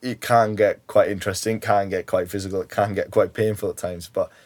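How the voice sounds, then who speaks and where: Geordie guy talking casually in an interview, lowish voice, keeps saying you know. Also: fairly even intonation, a little fast, darkish tone. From Newcastle, England. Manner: chatty, many ums and "you know"s. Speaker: guest, ambulance worker.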